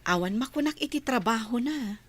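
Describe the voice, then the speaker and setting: Young wife, kindly, helpful, higher voice, radio drama dialogue. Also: female voice, expressive, moderate pace, fairly bright tone. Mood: pleasant. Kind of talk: Ilocano radio drama acting.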